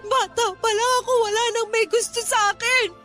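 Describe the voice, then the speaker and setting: A woman's voice: extremely high pitch, fairly even intonation, normal speed, sharp tone, faint music behind. A woman acting in a Tagalog radio drama as a despairing, fragile young mother, wounded, tearful and full of self-pity. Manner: tearful, wounded, emotionally intense.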